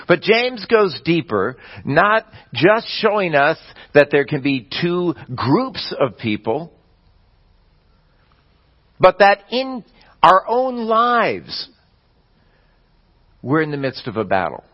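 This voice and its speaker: A man preaching a sermon, explaining scripture in a measured teaching manner with the odd restart. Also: on the high side, very animated, lo-fi sound. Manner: measured teaching delivery with occasional slips and restarts.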